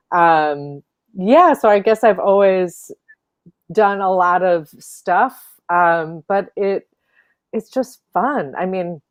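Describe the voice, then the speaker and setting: A woman from Illinois, an opera singer, chatting as an interview guest over a video call, thoughtful with many fillers and soft laughs. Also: fairly low pitch. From Illinois, USA. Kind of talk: casual interview chat.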